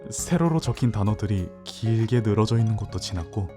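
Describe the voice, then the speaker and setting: A man acting in an audio drama as a hesitant, introspective newcomer, narrating in a soft, reflective way. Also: lowish voice, expressive, brisk, over music, close to an everyday voice.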